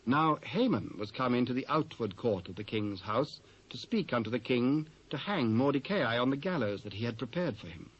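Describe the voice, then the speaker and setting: A man with a British accent reading scripture as a measured, formal narrator. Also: mid-range voice, lively intonation, husky. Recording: dry, dull old cassette transfer.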